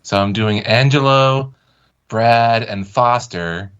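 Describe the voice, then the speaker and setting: A man's voice: mid-range voice, takes it slowly, a bit bright, smooth. A man chatting casually as a guest performer, informal and self-deprecating. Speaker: guest voice performer and graphic artist. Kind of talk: casual chat. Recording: dull, muffled call audio.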